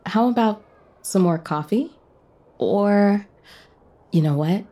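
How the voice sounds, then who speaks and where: A woman's voice: medium pitch, lively intonation, slow, mellow. A woman acting as a friendly, teasing diner owner in a romance audio drama, with a casual, bantering manner. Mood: playful, friendly. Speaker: guest voice actor in an audio romance.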